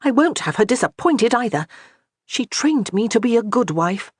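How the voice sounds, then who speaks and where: A woman's voice: very animated, moderate pace, slightly rough, no echo, clean studio sound. A woman doing a flustered, bossy young wife. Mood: agitated. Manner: rattled, bossy, high-strung. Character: flustered young noble wife, fussy, commanding.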